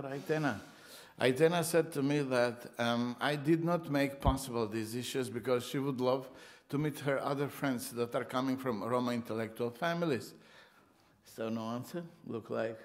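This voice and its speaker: Halting man on a conference panel. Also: medium pitch. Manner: halting, conversational. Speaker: conference speaker. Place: conference hall.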